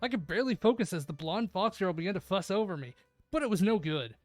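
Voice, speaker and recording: Woman reading aloud with lively intonation. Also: medium pitch, fast talker, over loud music. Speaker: streamer reading a visual novel aloud. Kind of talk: expressive read-aloud narration with casual chat.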